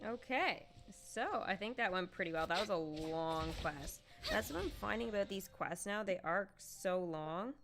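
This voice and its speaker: A woman hosting a live game stream in casual, excitable chat, thinking aloud as she goes. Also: mid-range voice, moderate pace, hardly any pauses, over music. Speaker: livestream host, gamer.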